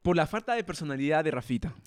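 A man's voice: fairly high pitch, expressive, fast, no room sound, clean studio sound. A young man co-hosting a podcast in casual banter, rambling and joking and stumbling over his words. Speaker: podcast co-host.

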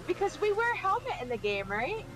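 Very animated, high-voiced woman reacting. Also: a little fast, low-quality recording. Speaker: host of a movie reaction show. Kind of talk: casual reaction commentary over a film. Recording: film soundtrack clearly behind. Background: movie audio with music.

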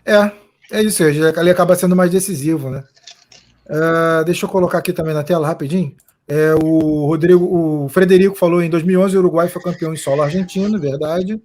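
High-voiced chatty man hosting a live stream. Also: steady tone, fairly quick, a little mellow. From Brazil. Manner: chatty, reads viewer comments aloud. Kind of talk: casual live chat.

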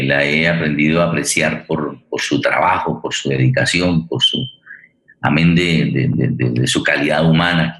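A man hosting a theatre forum, introducing in a halting, deliberate way as he searches for words. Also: rumbling low, a bit bright, low-quality recording. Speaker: forum host and theatre director. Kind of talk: introducing a guest at a public forum.

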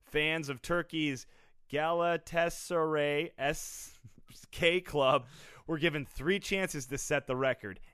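A man's voice: on the high side, slow pace, a bit bright, clear, resonant tone, no echo, studio quality. A man co-hosting a comedy sports podcast, reading out news items and riffing on them with frequent laughter. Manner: reads headlines then jokes, laughs often. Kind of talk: casual comedic chat.

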